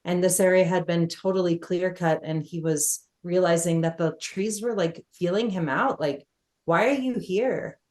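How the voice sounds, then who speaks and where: A woman's voice: on the low side, normal speed, darkish tone. A woman speaking on a panel in a casual, heartfelt way, with frequent fillers. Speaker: panelist, wilderness guide. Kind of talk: panel discussion.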